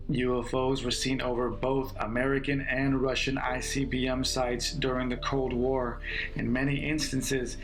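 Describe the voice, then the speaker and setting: A man with a raspy voice narrating a book summary as host, reading steadily and then signing off casually. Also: medium pitch, rough recording. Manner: steady read-aloud delivery, relaxed sign-off.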